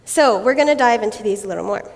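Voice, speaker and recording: A woman with a raspy voice from a cold, giving a playful, self-mocking conference talk on stage and laughing often. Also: on the high side, animated, quick pace, long reverb tail. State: recovering from a cold. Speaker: conference speaker.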